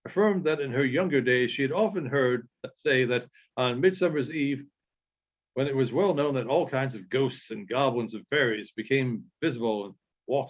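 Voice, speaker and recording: A man reading literary narration aloud as an audiobook reader, in a measured storytelling manner with halting phrasing. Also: medium pitch, highs cut off.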